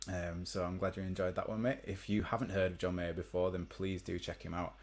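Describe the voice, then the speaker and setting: A young man with a deep voice, chatting casually to live-stream viewers between songs, rambling with many ums. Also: level tone, fairly quick. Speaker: musician hosting a live stream. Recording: faint guitar behind.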